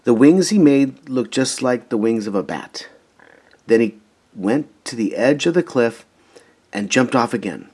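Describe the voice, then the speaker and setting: A man reading a children's storybook aloud in an expressive, emphatic way. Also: mid-range voice, unhurried, husky. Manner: animated, emphatic, dramatizing story moments. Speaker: storybook reader.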